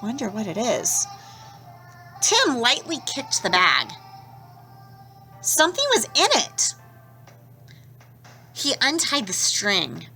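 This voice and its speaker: A woman teacher reading a children's picture book aloud, expressive and stopping to ask young listeners questions. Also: mid-range voice. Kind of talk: children's storybook read-aloud.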